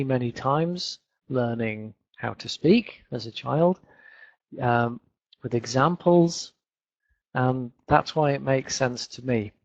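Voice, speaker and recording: Leeds guy teaching english online, slow and a bit gravelly, lots of ums, dull lo-fi webinar sound. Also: medium pitch, no room sound. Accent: Northern English, Leeds. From England. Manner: chatty, repeats words, many fillers.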